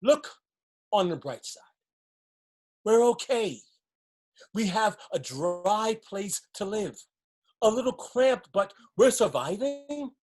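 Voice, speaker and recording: An actress plays a woman who has survived disaster and clings to what little she has. Her voice is mid-pitched and a touch husky. She speaks as in a stage drama, pragmatic and cautioning, wary of any risk. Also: expressive, slow pace, long pauses, darkish tone. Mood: placating, cautioning. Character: wary, resigned flood survivor clinging to a cramped shelter. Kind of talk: stage play dialogue.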